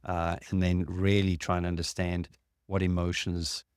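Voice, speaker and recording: A man with a notably deep voice hosts a casual talk, explaining ideas step by step. He pauses often on fillers. The sound is dry, with no room echo. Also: completely flat delivery, smooth. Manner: measured, explanatory, with frequent fillers. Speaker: podcast host and clinician.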